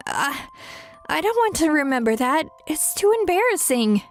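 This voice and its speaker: The high-pitched, proud and argumentative young girlfriend from a romcom manga dub, acted by a woman, heard over soft music in an online video. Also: very animated, moderate pace. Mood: flustered, guarded. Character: feisty, proud young career woman, secretly vulnerable ex-girlfriend. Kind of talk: dramatized romantic comedy dialogue.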